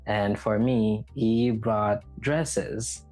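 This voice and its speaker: A man's voice: fairly low pitch, steady tone, drawn-out words, darkish tone, soft background music. A man from Haiti hosting a podcast, talking casually and reflectively about personal experience. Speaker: podcast host.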